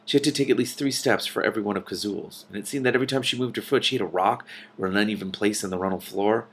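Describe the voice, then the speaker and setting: A man with a fairly low voice reads fiction aloud as a host. He shifts between narration and casual, exclamatory reactions in an animated manner. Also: quick pace, a little hiss or hum. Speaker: host reading a novel aloud with commentary.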